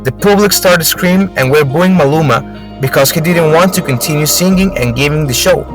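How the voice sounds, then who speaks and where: A man's voice: a little high, moderate pace. A young man reading a scripted amateur radio drama narration, with occasional stumbles. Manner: read aloud with stumbles. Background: music.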